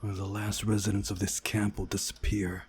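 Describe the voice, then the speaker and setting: A man's voice: on the low side, little pitch movement, moderate pace, a little hiss or hum. A man reading a visual novel aloud as a playthrough host, with casual asides.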